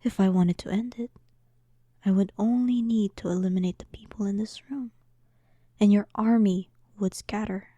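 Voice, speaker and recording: A woman playing a dominant, sarcastic demon queen in a roleplay audio drama, soft-voiced, teasing and condescending. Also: mid-range voice, fairly even intonation, moderate pace, no echo, natural voice. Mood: smug, menacing.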